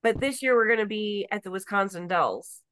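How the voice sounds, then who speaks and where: A woman's voice: medium pitch, lively intonation, moderate pace, a bit bright, no room sound. A woman guest on a podcast, telling a personal story in casual chat and often saying "like". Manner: storytelling, with frequent "like".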